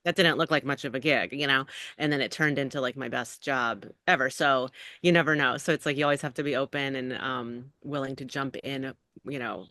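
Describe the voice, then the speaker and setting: A woman's voice: very deep voice, steady tone, brisk, bright, forceful tone, dry recording, clean studio sound. A middle-aged woman, an actor and acting coach, talking casually as an interview guest and rambling with lots of "like" and "you know".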